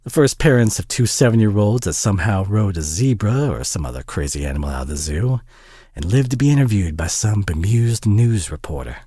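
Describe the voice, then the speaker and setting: A man acts out a Southern American single dad in an intimate audio drama, his voice low and very lively in its rise and fall. He is folksy and bashful, tired but warm, and sprinkles his hesitant talk with sweet pet names. The sound is close and dry. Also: a little fast, husky, no room sound. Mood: amused, friendly.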